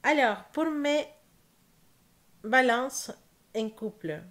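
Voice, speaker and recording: A young woman from South America whose first language is Spanish speaks French with a Spanish accent, reading tarot slowly and carefully, with pauses and self-corrections. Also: sits high, expressive, a bit bright. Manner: slow careful speech with hesitations and self-corrections. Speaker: tarot reader. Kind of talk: casual tarot reading to camera.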